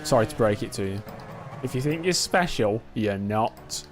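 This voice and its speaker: A young man casually commenting on his own racing game in a live stream, joking and self-mocking, quick to get excited. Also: fairly low pitch, faint music behind. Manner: quickly excitable under pressure.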